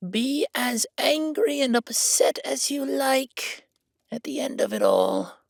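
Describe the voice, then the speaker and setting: A man's voice: extremely high pitch, lively intonation, slow, creaky, no room sound, clean studio sound, pitched above the performer's own voice, heavily acted. A man playing a smug, condescending noble envoy with a haughty, mock-polite and theatrical manner in an audio drama.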